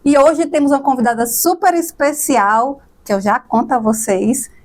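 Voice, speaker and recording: A woman hosting a podcast, talking enthusiastically and warmly with her guest. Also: high voice, normal speed. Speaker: podcast host. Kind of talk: casual podcast conversation.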